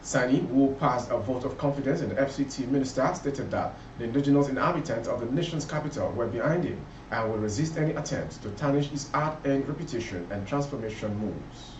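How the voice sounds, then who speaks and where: A man's voice: medium pitch, brisk, few pauses. Distant, echoey Nigerian male news reader. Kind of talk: scripted news bulletin read aloud. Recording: roomy, muffled, distant, faint background noise.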